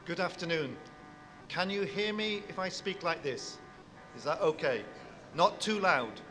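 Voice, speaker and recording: Man, university speaker, big voice, slightly high, long hall echo, music underneath. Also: expressive. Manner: warm, measured address to an audience, big voice. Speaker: university professor giving a speech. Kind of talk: formal public speech.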